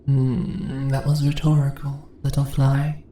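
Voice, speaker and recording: A woman voicing a seductive, dominant spider monster in an intimate ASMR roleplay, breathy and often whispering, with teasing, condescending questions. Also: rumbling low, monotone, slow, warm tone. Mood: mocking, commanding. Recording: roomy echo, faint background noise.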